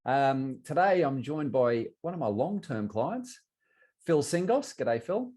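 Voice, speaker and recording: A man's voice: medium pitch, very animated, slow pace, a little mellow. Australian man hosting a casual interview. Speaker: podcast host interviewing a guest.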